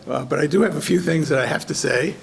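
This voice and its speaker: A hoarse man organizing a contest gives halting welcome remarks at a ceremony, with many ums and restarts. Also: a little high, a little hiss or hum. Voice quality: gravelly. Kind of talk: informal ceremony speech. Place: community event hall.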